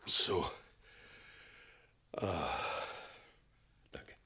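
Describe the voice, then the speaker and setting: An actor playing an evasive, half-mad town mayor in a German radio play, gushing with loyalty and swinging theatrically between hush and outbursts. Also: male voice, very slow, exaggerated voice. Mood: agitated, relieved.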